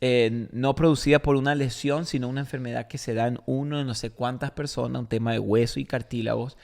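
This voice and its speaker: A man's voice: medium pitch, fairly even intonation, a little fast, vocal fry, a little mellow. A man with a Paraguayan Spanish accent, a podcast host and pastor from Paraguay, talking casually and telling stories with emphatic repetition. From Paraguay, born in Argentina. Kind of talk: casual conversational religious podcast talk.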